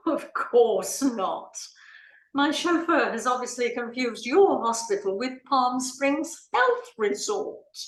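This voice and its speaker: Woman playing a haughty, melodramatic society lady, swooping pitch. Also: very animated, takes it slowly, heavily acted. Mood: haughty, dismissive. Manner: imperious, theatrical. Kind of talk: comic stage play reading. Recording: roomy, echoing sound.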